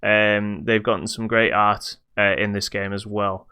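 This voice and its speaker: A man's voice: lowish voice, level tone, measured pace, pushed and bright, smooth. A British man hosting a podcast, casually reviewing a video game in a hesitant, stop-start way.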